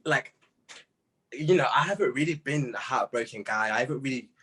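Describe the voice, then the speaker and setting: A man's voice: medium pitch, a little fast, a bit bright, breathy edge. A British man in his late teens speaking as a musician in a casual interview, candid and self-reflective, with plenty of slang.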